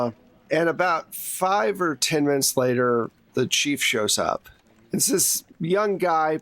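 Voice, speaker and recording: A man's voice: mid-range voice, a bit bright, dry recording. Male game master, mid-range voice, casual explanatory narration, conversational, dry recording.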